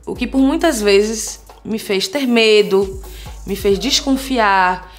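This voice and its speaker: A woman's voice: mid-range voice, slow, soft background music. A woman in her thirties from Salvador with a Bahian Portuguese accent, talking earnestly and conversationally to her viewers, often checking in with them. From Salvador, Bahia, Brazil. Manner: warm, earnest, conversational, frequent tag questions. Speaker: vlogger, content creator. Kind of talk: personal vlog talk to viewers.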